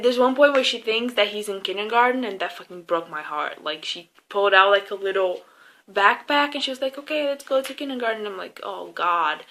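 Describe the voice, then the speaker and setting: Bright, high-voiced young woman, casual and gushing. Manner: informal, gushing, frequent swearing. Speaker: movie reviewer. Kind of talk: casual, opinionated film review chat.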